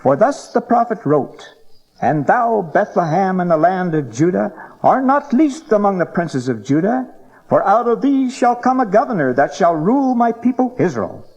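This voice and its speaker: Bold pharisee scholar, high voice that swings all over, declaiming, bible audio drama. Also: male voice, very animated, normal speed, darkish tone, breathy edge, lo-fi sound, heavily acted. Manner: declamatory, pointed.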